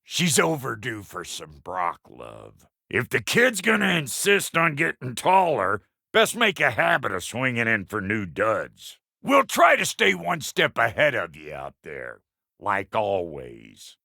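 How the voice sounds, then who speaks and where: A man voicing a gruff, cocky, middle-aged dwarf blacksmith for a video game, with a rough gravelly voice, an American accent and a brash, folksy, profane manner. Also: medium pitch, huge pitch swings, a little slow, bright, forceful tone, no echo, studio quality, full character voice. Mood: gruff, grumbling. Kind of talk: video game character voice lines.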